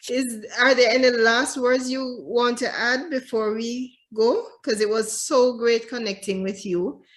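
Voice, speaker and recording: A woman's voice: fairly high pitch, fairly even intonation, measured pace. A woman hosting an interview over a video call, affirming her guests and chuckling lightly between remarks. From immigrant to Canada since 2013. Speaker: podcast host.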